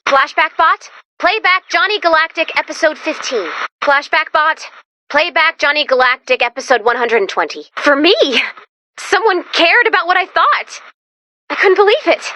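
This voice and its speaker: A woman voicing an excitable, brainy and neurotic robot companion in a video game, very expressive and quick to get exasperated. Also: high voice. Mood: hurt. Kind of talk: animated video game voice acting.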